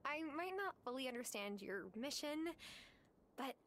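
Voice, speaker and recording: An actress voicing a sincere, gentle young woman in love in a video game cutscene. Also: sits high, expressive, normal speed, a little hiss or hum. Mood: affectionate.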